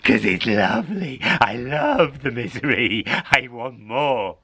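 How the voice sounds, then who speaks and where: A man's voice: medium pitch, expressive, takes it slowly, bright, forceful tone, husky, no room sound, exaggerated voice. A man voicing a greedy, gleeful cat in a told story, wheedling and repeating himself with giggles. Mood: impatient craving.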